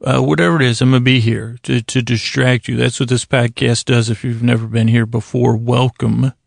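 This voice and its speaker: Creaky-voiced, meandering American man. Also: mid-range voice, level tone, no echo, clean studio sound. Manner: halting, meandering, self-interrupting with frequent tangents and pauses. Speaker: podcast host. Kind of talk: rambling, improvised bedtime monologue.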